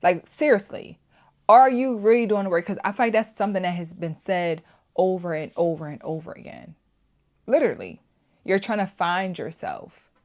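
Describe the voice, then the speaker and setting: A woman with a slightly low voice hosts a casual online talk show. She makes her case emphatically, circling back over points and posing rhetorical questions straight to her viewers. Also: lively intonation, moderate pace, a little mellow. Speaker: YouTube channel host. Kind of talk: casual opinion commentary to camera.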